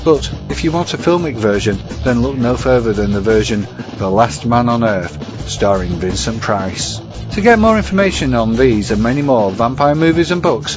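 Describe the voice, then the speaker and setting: A man presenting a scripted review segment in measured, formal phrasing. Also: mid-range voice. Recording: muffled sound under prominent music. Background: music.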